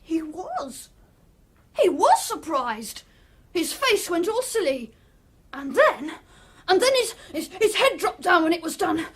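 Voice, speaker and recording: A man's voice: very high voice, very animated, slow, fairly bright tone, heavily acted. A man playing a childlike, boastful and dangerous boy in a radio play, giggly and taunting, repeating himself.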